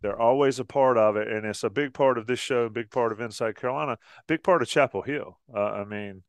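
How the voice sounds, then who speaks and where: Chatty co-host with a smooth voice. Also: male voice, medium pitch, fairly quick, no room sound, clean studio sound. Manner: chatty and easygoing, laughs often. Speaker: co-host of a college football pregame show. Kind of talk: casual promo chat.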